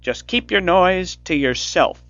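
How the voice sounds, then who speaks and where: A man voicing a cruel, scheming old female witch in a storybook reading, with a shrill put-on voice over faint music. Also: big pitch swings, slow pace, no room sound, heavily acted. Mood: scolding. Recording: dull lo-fi recording with faint music.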